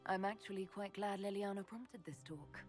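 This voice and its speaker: A woman's voice: medium pitch, normal speed, lightly acted. An actress playing a composed female leader who openly admits her feelings. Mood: candid. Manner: calm, direct and dry. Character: composed female leader of an order, candid in romance. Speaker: player character. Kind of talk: video game dialogue. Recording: loud music underneath.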